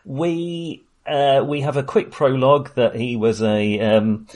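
A man hosts a casual film chat. He speaks informally and haltingly, searching for his words. His manner is self-deprecating and conversational. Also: medium pitch, fairly even intonation, darkish tone. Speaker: film podcast host.

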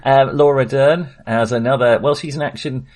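A middle-aged man co-hosting a film podcast, talking casually and haltingly, often restarting his sentences. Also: medium pitch, steady tone, darkish tone. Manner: hesitant, halting delivery with false starts; chuckles often while talking.

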